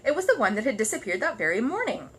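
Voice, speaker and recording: Bright, lively woman reading a storybook. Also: on the high side, fast talker, a little hiss or hum. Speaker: children's story reader. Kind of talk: animated storybook narration.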